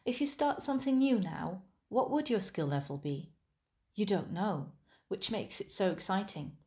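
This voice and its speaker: A woman whose first language is Dutch, a musician giving a calm, thoughtful scripted monologue. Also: mid-range voice, moderate pace.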